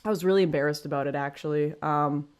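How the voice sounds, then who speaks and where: Deep-voiced woman chatting on a livestream. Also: fast, darkish tone, smooth. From Canada. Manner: chatty, frank, self-deprecating, thinks aloud with restarts. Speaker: livestream host. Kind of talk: casual livestream chat reading super chats.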